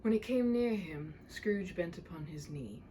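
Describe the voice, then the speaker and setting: A woman hosting a read-aloud, moving between playful chat and dramatic, animated storybook narration. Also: lowish voice, measured pace, slight background noise, poor audio. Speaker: channel host reading a classic aloud. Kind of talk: chatty host talk and expressive storybook narration.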